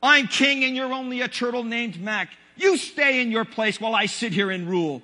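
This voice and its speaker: A man's voice: very high voice, normal speed, pushed and bright, full character voice. A man voicing a boastful, domineering turtle king from a children's storybook, pompous and bellowing. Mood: angry, commanding. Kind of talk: children's storybook read aloud. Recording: long hall reverb.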